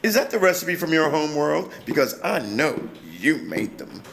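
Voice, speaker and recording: A man's voice: a little high, very animated, moderate pace, creaky, a bit bright, heavily acted. A man playing a flamboyant, sugary, sweet-talking salesperson in a sci-fi circus audio drama, with a theatrical, effusive delivery. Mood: gushing, wheedling.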